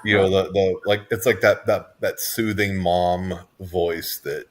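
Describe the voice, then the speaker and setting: A man with a very low, deep voice, co-hosting a podcast in casual chat. He talks in a relaxed, laid-back way and rambles a little as he tells his story. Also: a little slow. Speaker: podcast co-host, hypnotist.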